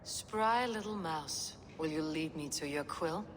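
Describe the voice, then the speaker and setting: A woman's voice: sits low, unhurried. A woman playing a stoic, wry and commanding Norse warrior woman in a video game. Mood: gentle, guarded. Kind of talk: video game dramatic acting. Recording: reverberant with faint background noise.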